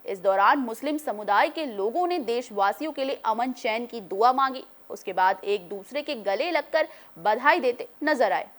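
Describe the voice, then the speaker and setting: High-pitched woman reading the news. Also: animated, normal speed, fairly bright tone. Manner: formal bulletin delivery. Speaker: news anchor. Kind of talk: scripted news narration.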